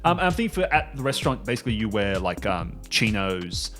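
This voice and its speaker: A low-voiced man leads a tabletop roleplaying game. He narrates scenes off the cuff in an informal, joking manner, with music clearly playing behind him. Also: sing-song, brisk, a bit bright. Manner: informal, jokey. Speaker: game master.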